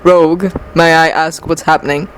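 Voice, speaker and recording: A stern middle-aged male professor, played by a woman reading a story, pitched well down from her own voice. He questions in a measured, formal manner, perplexed but authoritative. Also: fairly even intonation, slight background noise, natural voice, poor audio.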